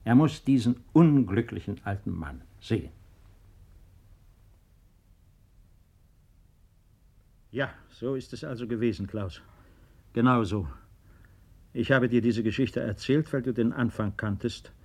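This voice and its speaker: Principled estate-owning father, middle-aged. Deliberate, earnest, unbending delivery for a 1950s radio drama. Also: mid-range voice, vocal fry, darkish tone, breathy edge. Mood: calm, earnest. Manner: measured, earnest, deliberate phrasing.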